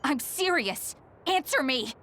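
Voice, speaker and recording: A woman's voice: high-pitched, animated, unhurried, heavy background noise. A woman playing a determined, anguished young psychic soldier in a dramatic video game, speaking with grave, introspective resolve. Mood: urgent, demanding.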